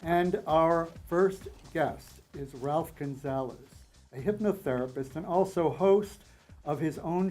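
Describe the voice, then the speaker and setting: A man hosting a faith-focused TV talk show, asking steady, attentive questions in the studio. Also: a little high. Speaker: host. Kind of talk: TV interview hosting. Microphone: studio TV set.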